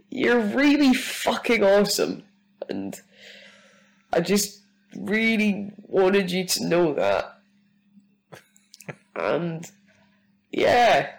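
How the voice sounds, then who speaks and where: A woman's voice: medium pitch, low-quality recording. A woman with a cold, leaving a heartfelt, sweary voice message for a host. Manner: heartfelt, sweary, laughing through tears. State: full of a cold, rough-sounding. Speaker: listener sending a voice message.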